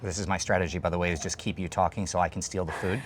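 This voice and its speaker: Chatty man hosting a food show, low voice. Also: fairly even intonation, very fast, a little hiss or hum. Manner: chatty, enthusiastic, explains dishes while eating. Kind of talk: casual food chat and explainer. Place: open-air food stall under a thatched roof. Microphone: lapel mic.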